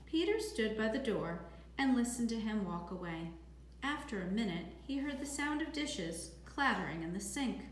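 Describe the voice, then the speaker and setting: A woman reading a children's novel aloud as a teacher would, in expressive storybook narration. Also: on the high side, moderate pace. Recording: echoey room, faint background noise.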